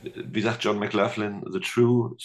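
Deep-voiced man, hesitant and joking. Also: fairly even intonation, slow. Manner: hesitant, thinking aloud, joking. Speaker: podcast guest, teacher and musician. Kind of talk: casual interview chat.

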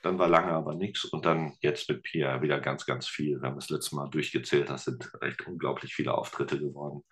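Very deep-voiced chatty Ruhr German man. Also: fairly quick. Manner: chatty, relaxed storytelling with many fillers. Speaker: podcast guest, teacher and musician. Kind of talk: casual interview chat.

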